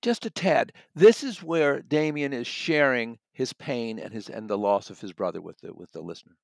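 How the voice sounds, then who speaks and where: Man, audiobook critic, creaky mid-pitched voice, halting conversational delivery, repeats for emphasis, close dry sound. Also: animated, no echo. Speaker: book reviewer, audiobook critic guest. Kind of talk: conversational book review.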